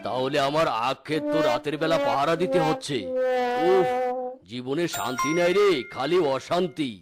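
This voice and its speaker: Casting: blustering, threatening tiger uncle acted by a man, fairly high pitch, animated cartoon, over prominent music. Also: normal speed. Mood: dismayed, lamenting. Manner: scolding, exclaiming, threatening. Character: gruff, indignant tiger farmer uncle guarding his sugarcane.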